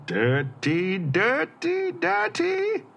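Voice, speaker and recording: A woman acting a nosy, teasing housemate with a husky voice in audio drama dialogue. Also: high voice, wildly swooping pitch, very slow, soft background music, full character voice. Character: nosy, teasing housemate calling through a door.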